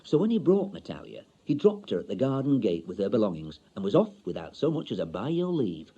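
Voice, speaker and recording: An actor playing a chatty, wistful older Yorkshire bachelor in a radio monologue, colloquial, confiding and droll. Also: male voice, on the low side, big pitch swings, brisk, mellow, breathy edge, lo-fi sound, exaggerated voice. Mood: wry, confiding. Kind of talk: dramatic monologue, radio short story.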